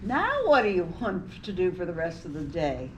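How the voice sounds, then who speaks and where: A woman's voice: on the low side, wildly swooping pitch, normal speed, a little hiss or hum, low-quality recording. A woman reading the part of a teasing, practical young friend in an amateur play. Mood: urgent.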